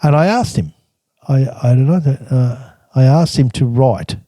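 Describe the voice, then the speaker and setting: A man's voice: medium pitch, unhurried, mellow, dry recording. An older man reading from his old travel journal and telling the stories behind it in a casual, chatty way, laughing often. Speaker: podcast guest recounting travels.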